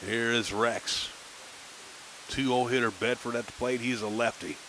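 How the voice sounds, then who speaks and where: A man calling a minor league baseball game on local radio, chatting casually between pitches. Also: unhurried, a little hiss or hum, poor audio. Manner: relaxed folksy chatter between pitches, rising excitement on big plays. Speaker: play-by-play announcer.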